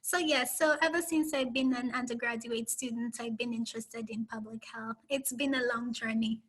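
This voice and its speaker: A woman's voice: a little high, steady tone, breathy edge. A woman with a Kenyan accent, a public health professor, telling her career story on a video call with many "um"s. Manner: warm, measured storytelling with many "um"s. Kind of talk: interview answers on a video call.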